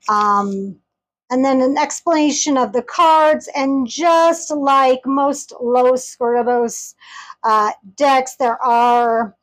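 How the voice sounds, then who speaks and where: Woman, high voice, slow unhurried delivery, enthusiastic, chatty, casual review. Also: poor audio. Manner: gushing, enthusiastic; chatty, addresses viewers directly. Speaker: tarot deck reviewer.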